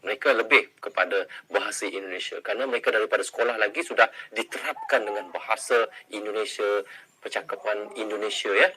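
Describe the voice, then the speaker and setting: A man chats casually to his viewers, thinking out loud with plenty of fillers as he reacts. His voice sits a little low but has a very bright, sharp edge. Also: fairly even intonation, quick pace, breathy edge, low-quality recording. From Malaysia. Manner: chatty, addresses viewers as guys, thinking aloud with fillers. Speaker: YouTuber reacting to a video.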